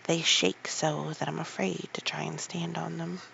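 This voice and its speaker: A reader voicing a frail, sickly but imperious boy, often in breathy whispers, in a storybook reading. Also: mid-range voice, flat delivery, moderate pace, pushed and bright, highs cut off, slight background noise. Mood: fretful.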